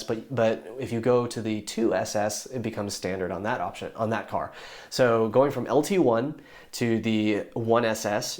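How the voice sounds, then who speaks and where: Hoarse, chatty young man explaining. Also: mid-range voice. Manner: enthusiastic, rambling with fillers and restarts. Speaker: YouTube car reviewer. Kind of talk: casual explainer talk.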